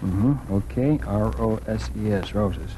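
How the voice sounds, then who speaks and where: Man as newspaper editor, lowish pitch, amiable, wry, 1940s radio acting, light background noise. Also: measured pace, warm tone, natural voice. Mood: kindly, helpful. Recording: noisy old 1940s radio recording.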